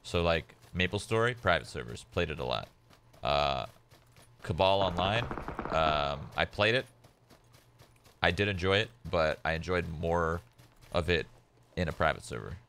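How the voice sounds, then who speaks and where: Deep-voiced young man, laid-back streamer. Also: animated, a little slow, pauses often, fairly bright tone, a little hiss or hum. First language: Polish. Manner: laid-back rambling with many "like" fillers. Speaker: game streamer. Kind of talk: casual chat while gaming.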